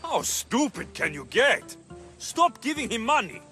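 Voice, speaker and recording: An actor playing a cocky young mercenary, talking bluntly and sardonically in a video game cutscene. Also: male voice, very high-pitched, very animated, unhurried, bright, forceful tone, faint music behind, heavily acted. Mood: exasperated.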